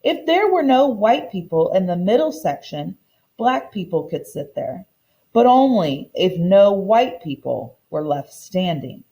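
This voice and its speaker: Female teacher for a kids' read-aloud: lively intonation, soft mellow tone, simple and explanatory. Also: medium pitch, unhurried, low-quality recording. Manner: simple, explanatory teacher talk addressed to young children. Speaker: teacher reading a picture book aloud.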